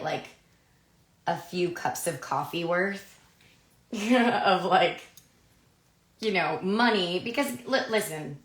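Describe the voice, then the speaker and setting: A woman in her thirties with a low, gravelly, raspy voice, a little hoarse after being sick. She walks through a haircut live online in a loose, chatty way. The studio is roomy and echoey. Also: a bit bright. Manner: chatty, filler-heavy, asks "right?" often. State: recovering from a cough, losing her voice. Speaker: hairdressing educator. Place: studio with a grey backdrop, indoors.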